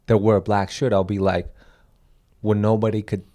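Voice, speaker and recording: A man's voice: on the low side, little pitch movement, moderate pace, dark tone. A young man from Taiwan, co-hosting a casual comedy podcast, rambling through stories and acting out the quotes. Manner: rambling storyteller, acts out quotes. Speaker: podcast co-host.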